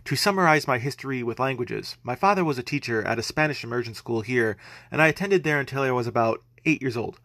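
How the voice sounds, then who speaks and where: A man in his thirties introduces himself at a medium pitch in a steady, matter-of-fact tone. The recording is dry and close, with no room sound. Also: quick pace, no room sound. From United States. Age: 30s. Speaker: guest speaker. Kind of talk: prepared self-introduction.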